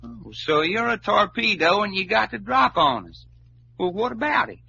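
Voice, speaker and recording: An actor playing a folksy, hot-blooded adventurer with a big appetite and a Southern drawl, in old-time radio drama style. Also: male voice, sits high, very animated, normal speed, gravelly, sharp tone, heavily acted. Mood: scrappy. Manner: folksy drawl full of colorful slang. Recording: muffled, hissy old radio recording.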